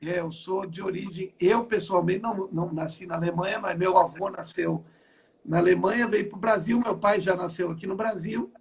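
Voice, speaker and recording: Older guy from brazil, high gravelly voice, keeps restarting phrases, pastor on a video call from home. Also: steady tone, normal speed. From southern Brazil. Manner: repetitive, stacks and restarts phrases. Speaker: apostle and pastor. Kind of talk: interview testimony.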